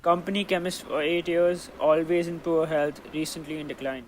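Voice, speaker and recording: A man's voice: a little high, fairly even intonation, normal speed, a little hiss or hum, close to an everyday voice, low-quality recording. A teenage boy playing a businesslike company aide who brings grim news in a radio drama. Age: teens, high school student.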